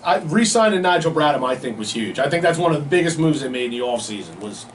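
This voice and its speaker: A man's voice: on the high side, big pitch swings, fast. A man hosting a sports talk show in casual, slangy, bantering radio style. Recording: distant mic, faint background noise.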